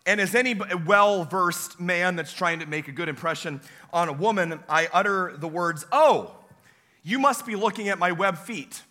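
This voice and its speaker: Hoarse young pastor, bright forceful voice. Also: male voice, high voice, moderate pace. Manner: folksy, self-deprecating storytelling with many uh fillers. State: hoarse, voice weaker than usual. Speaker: campus pastor. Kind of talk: sermon. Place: church sanctuary.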